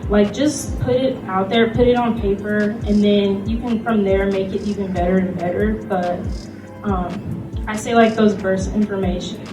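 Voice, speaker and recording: A woman's voice: mid-range voice, steady tone, moderate pace. A woman giving an informal panel presentation in a conversational, somewhat hesitant way, peppered with "like". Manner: hesitant, conversational, frequent "like" as filler. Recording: echoey room from far off, music behind.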